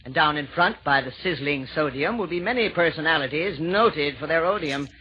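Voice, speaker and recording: An actor playing an eager, obsequious demon messenger in rhymed verse, in crisp 1940s radio style. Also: male voice, a little high, expressive, a little fast, lightly acted. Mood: eager, officious. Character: eager, obliging male demon messenger of hell. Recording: dull, noisy 1940s radio recording.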